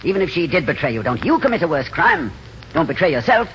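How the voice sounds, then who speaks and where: Eloquent doomed dreamer for old radio drama: lightish pitch, very expressive, earnest and theatrical. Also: male voice, fast, slight background noise. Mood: urgent, impassioned. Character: wistful, fatalistic romantic male drifter, gently eloquent. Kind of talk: 1940s radio drama acting. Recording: muffled 1940s radio recording.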